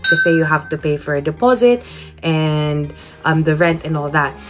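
A woman's voice: sits low, lively intonation, moderate pace, soft background music. A woman in her thirties with a Filipino accent, telling a personal story to camera in a candid, earnest way, full of fillers and restarts. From Philippines. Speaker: vlogger. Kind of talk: personal storytelling vlog, switching between English and Tagalog. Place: indoors, small room with wooden panelling. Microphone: camera at close range, facing it.

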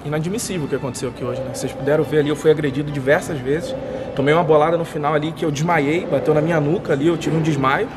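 A man's voice: fairly high pitch, steady tone, very fast, background noise. A man in his thirties with a Brazilian accent, playfully complaining after losing a match. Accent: Brazilian Portuguese. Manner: mock-outraged complaining. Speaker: losing player. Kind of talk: post-match interview. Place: indoor sports hall.

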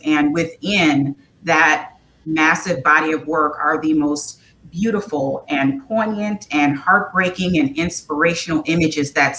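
An American woman in her thirties speaking as a museum panelist, articulate and earnest in measured phrases. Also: sharp tone, poor audio. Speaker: museum community engagement panelist. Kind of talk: panel discussion remarks. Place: small furnished room at home, on a video call. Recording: video call with clear noise and low rumble.

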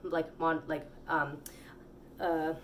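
Background noise sits clearly around a woman's fairly low voice, picked up by a camera in a small home kitchen. She talks casually and candidly, in slangy, meandering chat, like a home cook vlogging as she works. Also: flat delivery, slow pace. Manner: candid, slangy, conversational with some swearing. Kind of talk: casual rambling chat to camera while cooking.

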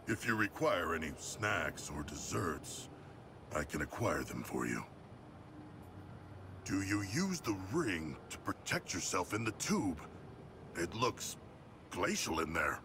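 Deep, gentle, formal shark-man. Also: sing-song, takes it slowly, pauses often, background noise, full character voice. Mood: earnest. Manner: formal, courteous, earnest. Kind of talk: video game voice acting.

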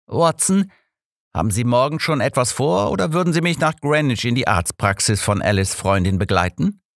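Audiobook role: shrewd elderly gentleman detective, polite and measured, male narrator, dry sound. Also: mid-range voice, expressive, vocal fry, dry recording, pitched above the performer's own voice. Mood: deliberating. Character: old male detective, shrewd, courteous, composed. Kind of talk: audiobook character voice.

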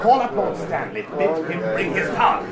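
A man's voice: on the high side, animated, moderate pace, crowd noise, lightly acted. An actor playing a ruthless, scornful warrior king in a declamatory classical Shakespearean style. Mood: commanding. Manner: declamatory, grandly theatrical. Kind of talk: classical Shakespearean stage acting.

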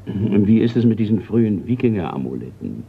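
Creaky-voiced courteous retired colonel. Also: male voice, lowish voice, very animated, a little fast, full character voice. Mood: businesslike, courteous. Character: retired colonel, older male art collector, courteous Scottish host. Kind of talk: German radio crime play acting. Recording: dull, noisy old radio recording.

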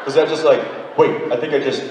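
A man's voice: mid-range voice, fairly even intonation, heavy background noise. A man on a convention panel, cracking teasing jokes into a handheld mic, recorded from the audience. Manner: teasing, quick interjections. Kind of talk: joking banter on a convention stage. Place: large convention hall with a crowd. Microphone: handheld mic, recorded by a far camera. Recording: echoey hall recorded from far off, loud crowd.

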